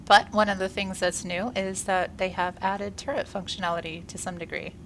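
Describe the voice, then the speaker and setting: A woman's voice: mid-range voice, flat delivery, normal speed, fairly bright tone, a little hiss or hum. A woman with a congested, slightly wheezy voice, giving upbeat, casual commentary on a space game while she plays. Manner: chatty, upbeat, frequent "uh" fillers. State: congested after a workout and illness. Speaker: gaming video creator.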